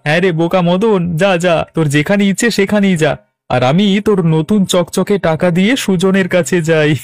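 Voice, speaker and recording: An actor voicing a gleeful, mocking village thief, sneering and cackling, in a cartoon. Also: male voice, high voice, slow, no room sound. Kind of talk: Bengali cartoon voice acting.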